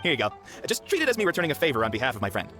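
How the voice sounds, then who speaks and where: A man's voice: mid-range voice, wildly swooping pitch, rapid-fire, pushed and bright, faint music behind. A man voicing a jovial, generous food stall cook in a video game, breezy and friendly.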